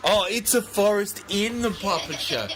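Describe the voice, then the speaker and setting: A man's voice: sits high, lively intonation, moderate pace. A man bantering casually as a father, in a playful, mock-incredulous teasing way, recorded at home. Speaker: father playing along with child's puppet show. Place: indoors at home. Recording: noisy amateur home video.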